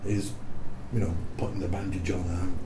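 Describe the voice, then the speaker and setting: An older man from Ipswich, telling casual anecdotes in a lively, animated way. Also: sits low, brisk, roomy sound, background noise. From Ipswich, England. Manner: lively, animated, acts out voices and sound effects. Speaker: storyteller guest.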